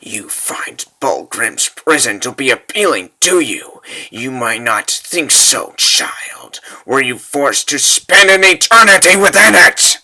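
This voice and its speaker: Guy doing a sinister spirit woman, hushed, slow, comic dub. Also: mid-range voice, sing-song, heavily acted, poor audio. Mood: menacing.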